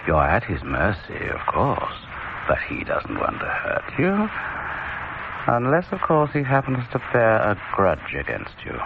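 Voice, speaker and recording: An actor playing a droll, sinister host of horror tales, pompous and deliberate in a theatrical introduction. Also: male voice, medium pitch, wildly swooping pitch, creaky, heavily acted. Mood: darkly droll. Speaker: horror series host and narrator. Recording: dull, noisy old radio recording.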